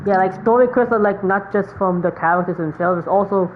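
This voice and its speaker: Casting: female game streamer, mid-pitched, casual rambling commentary, muffled mic with faint noise. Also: a little fast. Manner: rambling, filler-heavy musing. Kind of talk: casual live gameplay commentary.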